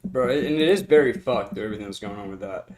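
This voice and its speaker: A man's voice: lowish voice, fast, darkish tone. A man co-hosting a casual podcast chat, agreeable and slangy, rambling through his points.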